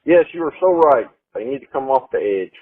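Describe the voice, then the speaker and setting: A man leaving a voicemail for a sports show over a tinny phone line, giving plain fan opinions in short, halting phrases. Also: medium pitch, lively intonation, slightly rough, no room sound. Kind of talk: plain, deliberate fan commentary.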